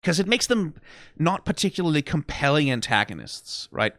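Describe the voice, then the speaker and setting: A man's voice: a little high, big pitch swings, moderate pace, a bit bright, no echo, clean studio sound. A man hosting a reaction video, giving rambling, analytical criticism full of "like" and "right?" with a wry, profane edge. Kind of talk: casual critical commentary.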